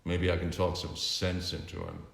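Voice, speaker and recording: A man voicing a smooth, scheming male billionaire villain in a grand, theatrical manner, in a roomy echoing room. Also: low voice, completely flat delivery, moderate pace, a bit bright. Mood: smug.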